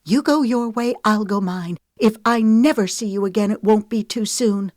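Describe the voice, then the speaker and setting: A woman voicing an enraged young woman, shrill and trembling with outrage. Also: sing-song, takes it slowly, a bit bright, no echo, studio quality, full character voice. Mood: shrill, defiant. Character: furious young woman confronting a killer.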